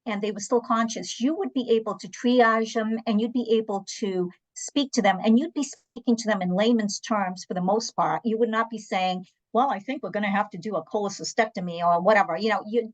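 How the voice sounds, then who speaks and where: A woman's voice: medium pitch, brisk, hardly any pauses, poor audio. A woman from Angola and South Africa whose first language is Portuguese, speaking candidly in a conversational panel talk and telling anecdotes with emphatic asides. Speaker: interpreter services guest.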